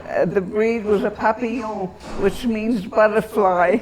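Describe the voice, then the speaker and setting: An elderly woman from Antwerp whose first language is German, reminiscing in an interview and searching for her words with long pauses. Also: mid-range voice, steady tone, a little mellow, poor audio. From Antwerp, Belgium.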